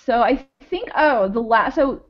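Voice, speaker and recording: A woman's voice: a little high, expressive, measured pace. A woman co-hosting a podcast, talking casually about a TV show and thinking aloud with lots of "like"s. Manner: rambling, thinks aloud with many "like"s. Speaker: podcast co-host. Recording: dull lo-fi audio.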